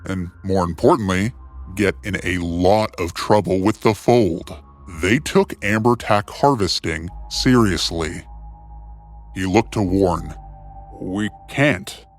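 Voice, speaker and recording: A man narrates an adventure tale with dramatic, scripted phrasing. His voice is fairly low, and faint music plays beneath it. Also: measured pace. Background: soft music.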